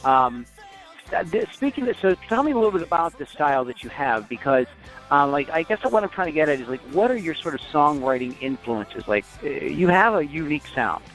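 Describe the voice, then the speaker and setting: Hesitant male interviewer, slightly high. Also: big pitch swings, fairly quick, fairly bright tone, soft background music, low-quality recording. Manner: hesitant, filler-heavy questions. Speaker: interview host. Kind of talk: casual interview.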